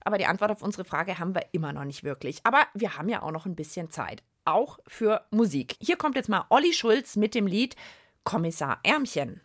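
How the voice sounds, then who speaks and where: Woman, medium pitch, kids' science show host, conversational explaining. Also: animated, brisk. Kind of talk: lively educational co-host chat.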